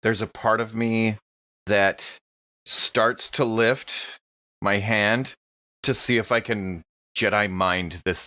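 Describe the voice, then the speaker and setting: A man's voice: low-pitched, bright, forceful tone, dull audio. A man playing a tabletop role-playing game, speaking earnestly and deliberating as he talks in character. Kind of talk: in-character roleplay and game talk.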